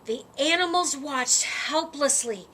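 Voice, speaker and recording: A woman reading a children's picture book aloud in an expressive, deliberate storytelling way. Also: sits high. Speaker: story time reader.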